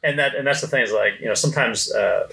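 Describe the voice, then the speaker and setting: A man's voice: medium pitch, fast talker, gravelly, bright, forceful tone, rough recording. A man chatting casually as a panelist on a podcast about his company's work.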